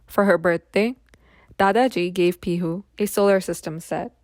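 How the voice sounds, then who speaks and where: A woman's voice: lowish voice, normal speed, no echo, studio quality. A woman reading a scripted children's story as its narrator.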